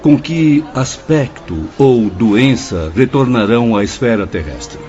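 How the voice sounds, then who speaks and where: Actor as a concerned inquiring visitor, dull audio. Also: male voice, mid-range voice, expressive, unhurried, dark tone, faint music behind, close to an everyday voice. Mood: concerned, curious. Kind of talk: Brazilian radio drama acting.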